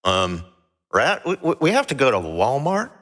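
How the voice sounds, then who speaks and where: A man playing a hesitant, bumbling space-crew sidekick in an audio drama, halting and stammering as he talks. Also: mid-range voice, huge pitch swings, a bit bright.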